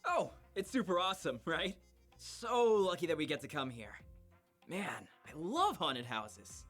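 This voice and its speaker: A man's voice: fairly high pitch, big pitch swings, measured pace, fairly bright tone, heavily acted. A man voicing an eager, goofy middle-school boy in a visual novel, with music behind him. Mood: excited, surprised.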